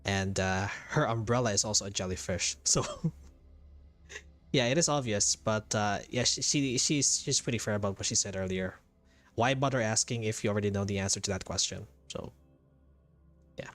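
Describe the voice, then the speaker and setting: A man's voice: on the low side, normal speed, fairly bright tone. A man giving casual game playthrough commentary as a streamer, rambling as he thinks aloud. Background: game music.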